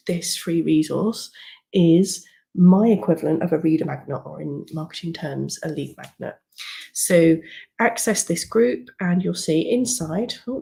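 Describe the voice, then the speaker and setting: A woman with a cold and a slightly croaky voice, walking a webinar audience through her online group in a chatty way with many fillers and restarts. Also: on the low side, a little mellow. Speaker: writing coach. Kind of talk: screen-share webinar walkthrough.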